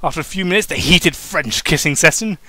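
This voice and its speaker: A man narrates fan fiction as an amateur, informally and with a mocking streak. His voice is on the high side and bright, recorded very dry. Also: brisk, no echo. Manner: informal, breaks off for mocking asides. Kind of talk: comic dramatic reading of fan fiction.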